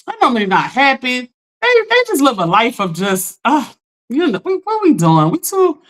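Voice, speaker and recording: A woman hosting a casual livestream, talking straight to her chat while she gets over a lost voice. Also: moderate pace. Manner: chatty, talks straight to chat, animated pitch jumps. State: recovering from lost voice. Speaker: livestream host.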